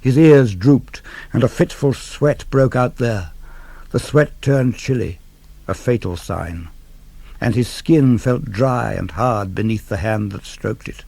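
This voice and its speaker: A man giving a dramatic radio reading of classical verse, solemn, measured and deliberate. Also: medium pitch, vocal fry, a little mellow, slightly rough, dry recording. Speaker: reader of poetry.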